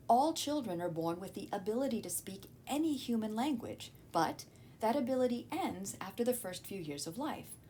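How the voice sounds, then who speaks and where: A woman narrating a scripted educational video as a teacher, explaining clearly. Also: a little mellow. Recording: noticeable low rumbling background noise.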